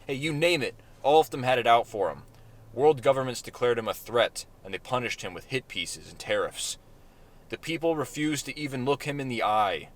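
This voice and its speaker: A man reading his own short story aloud in a deadpan manner, with self-mocking asides. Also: medium pitch.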